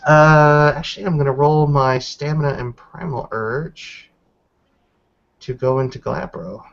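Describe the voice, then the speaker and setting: A man's voice: a little high, steady tone, unhurried, gravelly, lo-fi sound. A man improvising casual narration as a tabletop roleplaying player, searching for words as he describes things.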